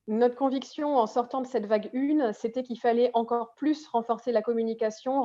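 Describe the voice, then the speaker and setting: A woman's voice: fairly high pitch, mellow, dull audio. A woman speaking as a health agency official, giving an explanatory interview answer.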